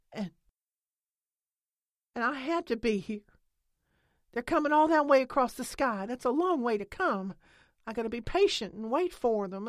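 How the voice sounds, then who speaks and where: A woman voicing a stubborn, excitable rural woman who talks in country dialect and rambles defensively. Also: medium pitch, no room sound, clean studio sound, pitched above the performer's own voice, natural voice.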